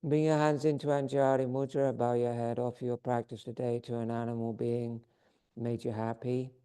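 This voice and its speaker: A man teaching a yoga class, giving calm step-by-step posture and breathing cues while he is losing his voice. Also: medium pitch, moderate pace, clean tone. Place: yoga studio.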